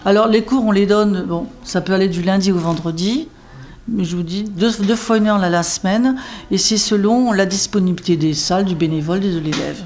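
A woman's voice: mid-range voice, fairly quick, darkish tone, slight background noise. A woman coordinating literacy classes, answering interview questions in a rambling way, full of fillers, restarts and tag words. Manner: rambling, many fillers and restarts, emphatic "hein".

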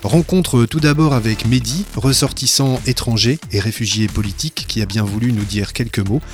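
A man presenting a report in smooth, scripted narration. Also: mid-range voice, normal speed, soft background music. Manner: smooth, read announcement.

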